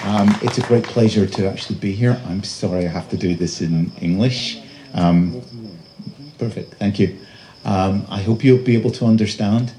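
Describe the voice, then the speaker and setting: A man giving a public lecture as an invited speaker, presenting slides in a halting, pausing delivery. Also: lowish voice, warm tone. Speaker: invited conference speaker, robotics researcher. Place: live talk at a festival venue. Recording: noisy live event recording.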